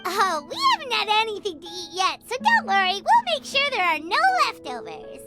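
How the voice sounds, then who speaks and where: A woman voicing a small, chirpy, eager childlike girl companion in a video game, with a squeaky, exclamatory delivery. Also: wildly swooping pitch, fairly quick, heavily acted. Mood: eager, helpful. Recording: music behind the voice.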